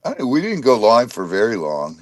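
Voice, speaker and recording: A man's voice: mid-range voice, huge pitch swings, moderate pace. A man co-hosting a casual livestream, his sinuses acting up, greeting viewers one by one by name. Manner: reads out viewers' names in greetings. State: congested sinuses. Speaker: co-host.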